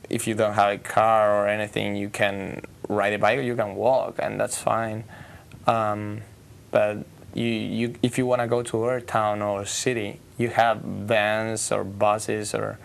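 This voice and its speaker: A teenage exchange student from Argentina, speaking English hesitantly in a studio interview. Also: male voice, fairly low pitch, vocal fry. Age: teens. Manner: hesitant, searching for words.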